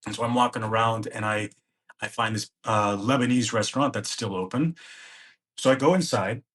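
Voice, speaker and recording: A man's voice: on the low side, moderate pace, fairly bright tone. A man, a podcast guest, recounting a personal travel story in present tense and acting out the dialogue he remembers. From United States.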